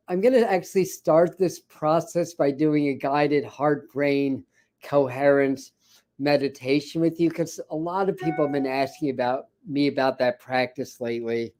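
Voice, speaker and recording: Man, hoarse, scratchy, warm mellow tone, slightly high voice, casual rambling livestream host. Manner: rambling, many fillers and restarts. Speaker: spiritual channeler hosting a livestream. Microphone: ring light setup, speaking to camera.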